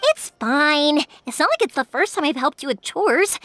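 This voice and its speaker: Peppy young fireworks girl, very high, lively. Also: normal speed, dry recording, clean studio sound. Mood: eager. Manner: upbeat, eager, reassuring. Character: cheerful young woman fireworks maker. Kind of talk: game voice acting.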